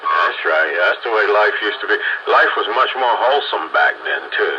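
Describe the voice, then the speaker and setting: Low-voiced older man on muffled radio. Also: lively intonation, moderate pace. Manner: folksy, nostalgic. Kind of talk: casual group chat. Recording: muffled radio with clear noise.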